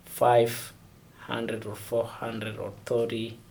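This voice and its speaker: A man from Somalia, answering interview questions haltingly and searching for words. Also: mid-range voice, monotone, a little mellow, a little hiss or hum. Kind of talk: oral history interview.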